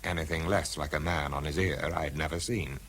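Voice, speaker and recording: An actor playing a blunt, stubborn, dryly sardonic British scientist in a radio drama, who also narrates it. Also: male voice, extremely low pitch, fairly even intonation, normal speed, fairly bright tone, slight background noise. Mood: dry narration. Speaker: lead actor and first-person narrator.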